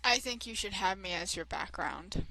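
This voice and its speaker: A woman's voice: medium pitch, expressive, moderate pace, vocal fry, crowd noise, rough recording. A woman chatting casually and playfully as a guest on a let's play. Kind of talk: casual chat.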